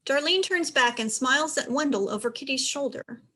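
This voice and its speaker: A woman's voice: high-pitched, animated, normal speed, pushed and bright. A woman giving scripted narration, reading out the stage directions of a play.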